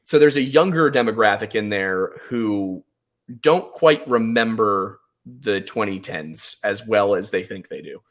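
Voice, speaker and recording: A man's voice: lowish voice, animated, measured pace. A man in his twenties with an American accent, talking casually and at length as a podcast guest, explaining himself through personal stories. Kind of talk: casual conversational storytelling.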